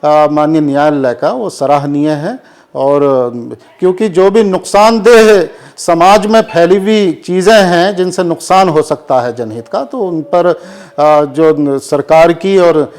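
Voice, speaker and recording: A man speaks as a cleric being interviewed, in a fairly high voice. He takes his time, delivering formal, measured statements full of Urdu vocabulary. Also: darkish tone. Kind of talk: formal press interview answers on current affairs.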